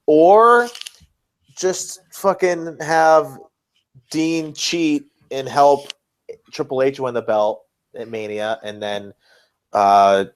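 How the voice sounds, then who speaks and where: A man's voice: mid-range voice, clear, resonant tone. A man co-hosting a podcast, casually discussing wrestling in informal, slangy language with some swearing. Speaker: podcast co-host.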